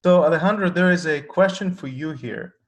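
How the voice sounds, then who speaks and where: A man's voice: on the high side, a little fast. Man reading out audience questions. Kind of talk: live-stream Q&A.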